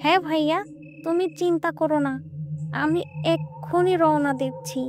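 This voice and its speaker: Dutiful younger brother for a horror cartoon, acted by a woman: high-pitched, earnest, eager to help. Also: fairly even intonation, normal speed, noisy background, lightly acted. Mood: reassuring.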